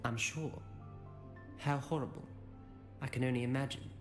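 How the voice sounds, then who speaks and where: An actor playing a polite, well-bred teenage wizard, courteous and dryly wry, in a video game. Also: male voice, mid-range voice, fairly even intonation, moderate pace, faint music behind, lightly acted. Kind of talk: video game character acting.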